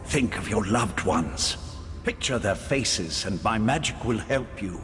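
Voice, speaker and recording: An actor playing a calm, compassionate mage in a video game, gentle and deliberate. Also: male voice, lowish voice. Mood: soothing. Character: calm, compassionate male mage offering help. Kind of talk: dramatic video game voice acting. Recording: long cavernous reverb, music underneath.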